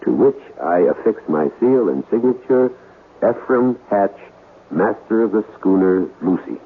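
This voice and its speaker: An actor playing a grave, insistent ghost hunter in a radio drama, deliberate and solemn in manner, on an old radio recording. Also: male voice, fairly low pitch, expressive, breathy edge. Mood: ominous, solemn. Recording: muffled, faintly noisy old radio recording.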